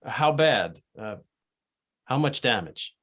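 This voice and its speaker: A man's voice: medium pitch, animated, slow pace, fairly bright tone. A man playing an uneasy underling who answers to his boss, in a screenplay table read.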